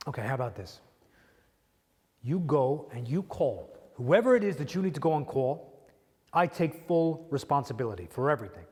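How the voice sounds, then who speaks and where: A man playing a polite, insistent prison doctor in a dramatic monologue, measured and firmly persuasive. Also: mid-range voice, animated, dark tone, close to an everyday voice. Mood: frustrated, pleading. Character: polite, insistent male prison doctor pleading for his patient. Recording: long echoing hall reverb.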